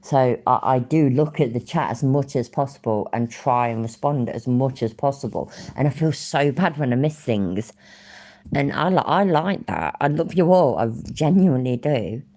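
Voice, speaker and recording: A woman's voice: rumbling low, lively intonation, moderate pace, mellow. A woman with an English Midlands accent, chatting casually to her live-stream audience; she rambles, swears freely and laughs often.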